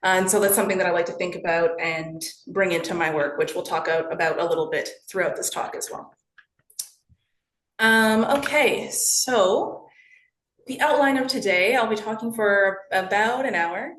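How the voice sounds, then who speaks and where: A woman's voice: mid-range voice, normal speed, a bit bright, reverberant, slight background noise, low-quality recording. A woman in her thirties from Toronto, giving an academic talk remotely in a conversational way, with frequent fillers and self-corrections. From Toronto, Canada. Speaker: researcher giving a colloquium talk. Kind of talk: academic presentation.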